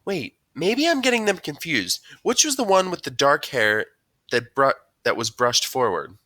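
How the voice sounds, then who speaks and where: This is a man playing a loving mother in an audiobook, pitched high and softly coaxing. She sounds tender and concerned, with animated swoops and a dry, close sound. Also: moderate pace, dry recording, heavily acted. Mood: concerned, probing. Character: gentle, concerned, affectionate mother of a young boy. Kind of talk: audiobook character dialogue.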